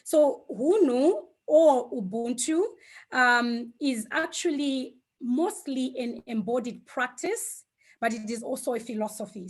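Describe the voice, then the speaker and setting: A woman, a Shona speaker, giving an informal online presentation in a reflective, hesitant way, often pausing mid-thought. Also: fairly high pitch. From Zimbabwe. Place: online video call.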